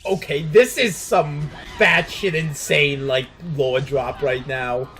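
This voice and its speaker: A man's voice: fairly high pitch, fairly even intonation, takes it slowly, a bit bright, soft background music. A man giving casual reaction commentary in an amused, joking manner.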